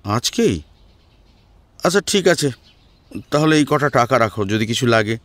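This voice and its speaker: A man's voice: medium pitch, animated, measured pace, a bit bright, dry recording. Man acting a mild, dutiful son. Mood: deferential. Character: mild, dutiful adult son, careful with his mother.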